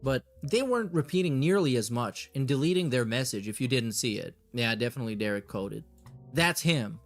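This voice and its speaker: A man's voice: medium pitch, lively intonation, a little fast, faint music behind. A man hosting a reaction show in a casual, blunt, sardonic way, reading messages aloud and swearing as he comments.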